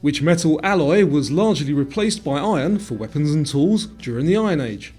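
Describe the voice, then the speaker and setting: A man delivering scripted quiz narration in a measured, presentational way, setting out questions and answers. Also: fairly high pitch, expressive, darkish tone, slightly rough. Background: guitar music.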